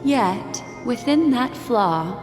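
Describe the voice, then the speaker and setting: An actress playing an enigmatic, composed woman guide in a video game, narrating in a measured, hypnotic, deliberate way. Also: on the high side, lively intonation. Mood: solemn, knowing. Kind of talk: dramatic scripted game narration. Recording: long hall reverb, game music behind.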